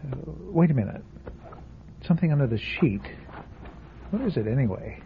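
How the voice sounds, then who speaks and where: An actor playing a nervous insurance claim investigator in a classic radio drama, stammering and muttering to himself, heard over a tinny old broadcast recording with faint hiss. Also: male voice, medium pitch, big pitch swings, normal speed, lightly acted. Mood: uneasy. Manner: jittery, stammering, talking to himself. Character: nervous middle-aged male insurance investigator.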